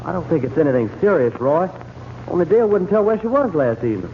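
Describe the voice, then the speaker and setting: An actor playing a hesitant, worried American ranch hand in an old-time radio western. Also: male voice, on the high side, sing-song, fast. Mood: worried, apologetic. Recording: muffled old radio, faint noise.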